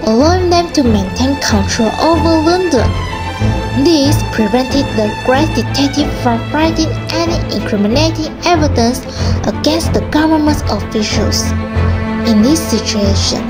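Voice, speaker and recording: A woman's voice: sits high, expressive, normal speed, loud music behind the voice. A woman delivering scripted narration for a radio drama.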